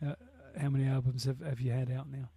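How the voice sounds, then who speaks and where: A man's voice: medium pitch, level tone, fast, vocal fry, mellow, husky. A man hosting a radio interview, encouraging the guest and often repeating his praise. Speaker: radio host.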